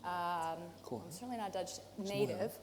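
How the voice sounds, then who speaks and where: A woman's voice: lowish voice, unhurried. Canadian woman speaking in a hall. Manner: relaxed, self-deprecating, many fillers. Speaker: professor, speaker. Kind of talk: conference talk. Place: conference hall. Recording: reverberant hall, faint noise.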